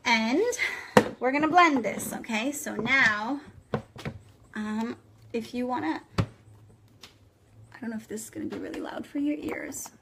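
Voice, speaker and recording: A woman in her thirties with a French accent, demonstrating a recipe to viewers and checking in with "okay?" and "guys" after nearly every line. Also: a little high. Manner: chatty, keeps tagging "okay?" and "guys". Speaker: vlogger demonstrating a smoothie recipe. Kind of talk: casual kitchen demo, talking to viewers. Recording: noisy home recording.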